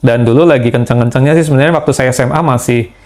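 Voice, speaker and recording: Middle-aged man, Indonesian, interview guest, measured speech, pauses and fillers, average pitch. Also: darkish tone. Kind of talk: conversational testimony.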